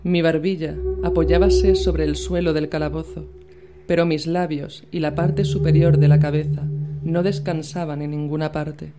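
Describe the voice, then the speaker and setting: A woman from Spain reading a literary horror story aloud in a measured, dramatic storytelling manner. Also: low-pitched, little pitch movement. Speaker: narrator. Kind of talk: scripted literary narration. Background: loud music with a singing bowl.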